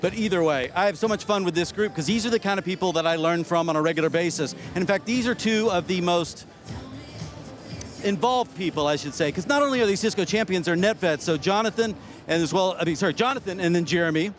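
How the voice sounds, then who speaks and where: A man co-hosting from a convention show floor, chatty and lively as he interviews guests. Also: sits high, fast, faint music behind. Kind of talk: live event interviewing.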